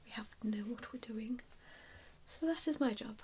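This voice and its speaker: A woman performing a softly spoken ASMR roleplay as a gentle, reassuring vampire mentor, with a soothing, hesitant manner. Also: on the high side, normal speed, slight background noise.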